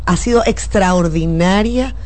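A woman's voice: on the low side, unhurried. A woman journalist, a guest in a studio interview, explaining in earnest detail and with feeling. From Venezuela. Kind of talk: talk-show interview.

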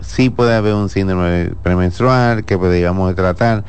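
Calm doctor, low voice. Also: male voice, measured pace, clear, resonant tone. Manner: calm, explanatory, laughs easily. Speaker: gynecologist answering listeners. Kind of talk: radio health talk show.

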